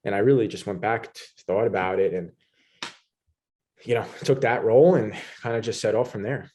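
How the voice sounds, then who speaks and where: Low-voiced New York man. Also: normal speed. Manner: relaxed, many "you know" fillers and restarts. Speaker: podcast guest. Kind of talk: casual interview talk.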